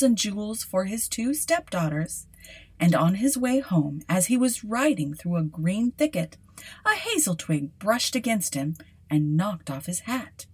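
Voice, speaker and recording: A woman's voice: lowish voice, a little mellow, husky. A woman podcast host reading a fairy tale aloud as dramatic scripted narration.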